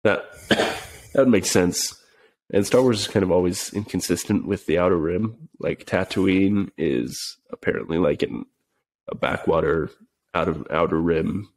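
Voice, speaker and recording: Sick deep-voiced man, husky and creaky. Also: measured pace, low-quality recording. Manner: relaxed, hesitant with many fillers. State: sick, sniffling. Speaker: podcast co-host. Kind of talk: casual chat.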